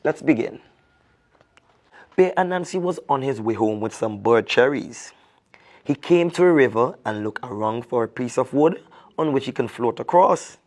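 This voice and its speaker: A man speaking as a reading ambassador in an expressive children's storytelling style, deliberate, with dramatic pauses. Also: mid-range voice.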